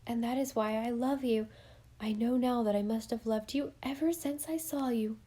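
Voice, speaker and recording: An earnest, brave young woman confesses her love, her voice lifted a little higher, read by a woman narrator. The tone stays mellow and soft. It is a storybook reading. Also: normal speed, natural voice. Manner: earnest, raised in pitch.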